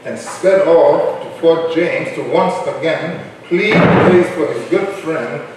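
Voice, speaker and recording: A man's voice: fairly high pitch, slow. A man playing a solemn narrator of a colonial historical drama in a staged reading, recorded at a distance in an echoey room. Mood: formal. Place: live stage in a reverberant room. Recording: very echoey, distant, faint noise.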